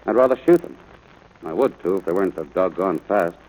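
Gruff, low-voiced rancher father. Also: lively intonation, brisk, a little mellow. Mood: weary, gruff. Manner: gruff, exasperated. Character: stern, worn-out rancher father, gruff but caring. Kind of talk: 1940s radio acting. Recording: noisy old radio broadcast.

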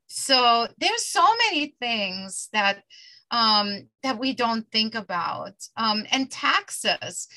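High, bright-voiced woman teacher. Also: rough recording. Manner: lively anecdotal, laughs at herself. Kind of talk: panel discussion storytelling.

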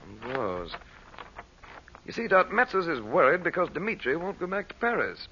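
An actor playing a calm, tactful American adventurer in an old radio drama, with an easygoing, courteous manner. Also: male voice, a little high, normal speed, full character voice. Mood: thoughtful. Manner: easygoing, smooth-talking, courteous. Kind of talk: 1930s-40s radio drama acting. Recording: dull, hissy old radio recording.

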